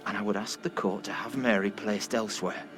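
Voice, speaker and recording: An actor playing a zealous, accusing Puritan reverend in a period video game drama, with preachy, formal, archaic speech. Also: male voice, fairly low pitch, fairly even intonation, brisk, a bit bright, faint music behind, natural voice. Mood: solemn, insinuating.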